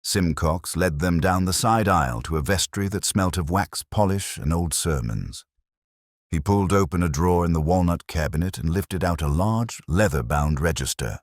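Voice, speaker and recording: A man reading scripted narration of a detective mystery in a measured, literary storytelling manner. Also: sits low, lively intonation, no room sound, studio quality.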